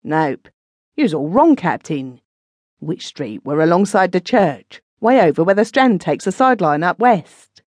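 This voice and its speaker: A reader voicing a quiet, drawling sailor in a London pub. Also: medium pitch, very animated, unhurried, no room sound. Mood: calm.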